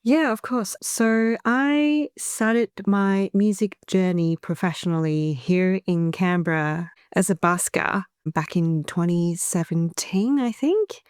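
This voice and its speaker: A woman in her thirties from Taiwan, an English speaker, talking in a soft-spoken, thoughtful way as an interview guest. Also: fairly low pitch, slow, few pauses, clear, resonant tone, no echo, studio quality. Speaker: singer-songwriter guest. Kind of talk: relaxed interview chat.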